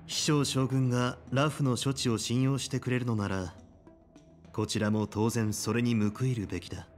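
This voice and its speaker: An actor playing a dignified male general in a fantasy game, speaking calmly, formally and deliberately. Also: mid-range voice, soft background music, lightly acted. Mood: measured, formal. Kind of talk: restrained game voice acting.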